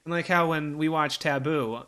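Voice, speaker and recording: A man's voice: a little high, normal speed, sharp tone, slight background noise. A young man, chatting casually while playing a video game, hesitant and full of restarts. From Ohio, USA. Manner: rambling, hesitant, many fillers and restarts. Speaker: co-host. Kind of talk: casual gameplay chat.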